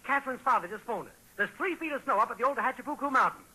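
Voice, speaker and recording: A man playing a breezy, excited American neighbor in a 1940s radio comedy. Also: extremely high pitch, rapid-fire, husky, exaggerated voice. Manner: hearty, urgent. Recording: scratchy, thin 1940s radio recording.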